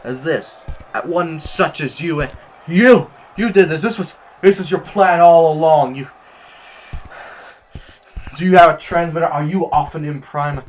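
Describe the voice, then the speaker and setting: A man's voice: on the high side, a little slow, natural voice. A man playing a haughty, paranoid revolutionary politician in a sci-fi audio drama, speaking in formal, pompous oratory. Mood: ranting, unhinged. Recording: roomy, echoing sound.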